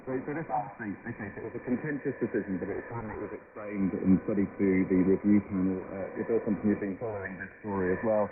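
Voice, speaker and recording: Man, radio interviewer, brisk pace, slightly low pitch, thin shortwave sound. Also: steady tone. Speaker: radio presenter interviewing guests. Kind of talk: live interview. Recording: noisy tinny shortwave radio reception.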